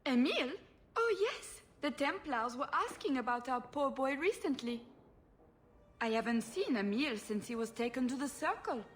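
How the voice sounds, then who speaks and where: High, fluttery French-accented noblewoman. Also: lively intonation, normal speed, a little hiss or hum. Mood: breezy. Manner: gushing, fluttery. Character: flighty, fussy noblewoman and doting mother. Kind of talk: video game cutscene acting.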